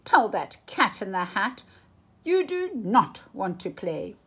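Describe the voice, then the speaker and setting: A woman's voice: medium pitch, sing-song, takes it slowly, fairly bright tone, breathy edge, heavily acted. A woman voicing a small, indignant pet fish from a storybook, fussy and bossy, scolding emphatically. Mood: alarmed, bossy.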